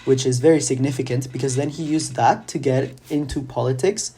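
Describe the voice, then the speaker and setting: A man's voice: medium pitch, fairly even intonation, a little fast, mellow, husky. A young man from Chile who grew up in Argentina, a student guest on a podcast explaining things at length with the odd restart. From from Chile, raised in Argentina. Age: young adult. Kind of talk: casual interview answers.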